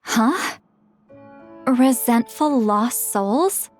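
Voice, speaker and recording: A woman's voice: a little high, extremely slow, darkish tone. A woman playing a plain-spoken young shaman in a video game, casual and questioning. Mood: puzzled. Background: music.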